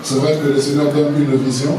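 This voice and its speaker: A man from Congo-Brazzaville addressing a church conference of pastors in a measured, halting way with many pauses. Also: fairly high pitch, flat delivery, crowd noise. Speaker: apostle leading a pastors' conference. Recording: echoey hall, distant, noisy.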